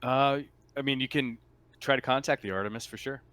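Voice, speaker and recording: A man's voice: medium pitch, a crowd in the background. A man acting as game master, refereeing a tabletop game casually, often rephrasing as he goes. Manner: halting, repeats and rephrases.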